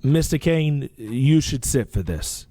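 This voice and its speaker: A man's voice: medium pitch, lively intonation, slow pace. An actor playing an anxious, deferential finance man in an audio drama, speaking formally and apologetically. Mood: polite, hesitant. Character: anxious, deferential male finance man reporting bad news. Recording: loud music behind the voice.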